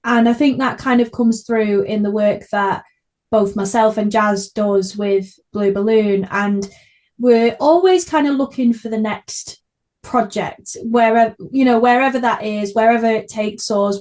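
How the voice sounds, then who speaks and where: A woman's voice: a little high, fairly even intonation, a little slow, a little mellow. A young woman hosting a casual podcast interview in a chatty, supportive way. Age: young adult. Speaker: podcast host, theatre creative.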